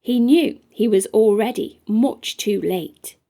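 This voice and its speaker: A woman's voice: medium pitch, slow pace, warm tone. A woman reading a rhyming children's picture book aloud as a storytime narrator. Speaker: storytime reader.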